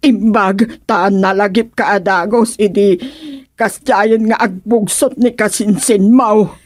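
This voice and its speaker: A woman acting a bereaved village woman in a melodramatic radio serial. Her high, tearful voice wails slowly in an Ilocano accent, stretching out the words. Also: fairly even intonation.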